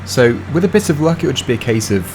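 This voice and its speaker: Chatty man, slightly hoarse. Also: mid-range voice, big pitch swings, quick pace, background noise. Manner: chatty, thinks aloud with fillers. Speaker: gaming channel host. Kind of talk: casual gameplay commentary.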